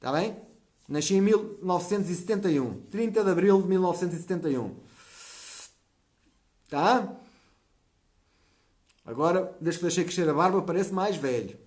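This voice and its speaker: A man in his forties with a European Portuguese accent, talking easily in a slightly high voice. He hosts a natural health live stream and chats through viewer comments. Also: expressive, darkish tone. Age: 40s. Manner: chatty, often checks in with a quick "tá?". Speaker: natural health channel host.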